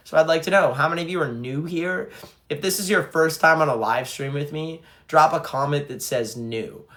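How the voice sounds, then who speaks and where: A man's voice: a little high, bright, forceful tone. A young man who fronts a band, chatting casually on a livestream and reading fan comments aloud.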